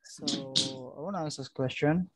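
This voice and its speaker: A man's voice: medium pitch, measured pace, soft background music, low-quality recording. A young man asking a question on a video call through a headset, hesitant and searching for words.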